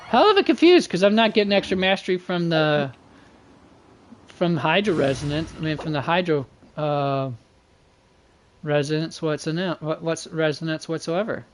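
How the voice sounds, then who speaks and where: A man doing casual livestream game commentary, thinking aloud and muttering to himself in a folksy, slangy way. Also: a little high. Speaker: game streamer.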